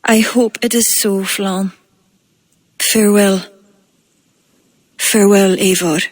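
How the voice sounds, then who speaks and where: An actress playing a grieving, proud druid woman in a video game, speaking gravely and ready to sacrifice herself. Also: mid-range voice, steady tone, takes it slowly, dry recording. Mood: sad, resigned. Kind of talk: cinematic video game acting. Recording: game music behind the voice.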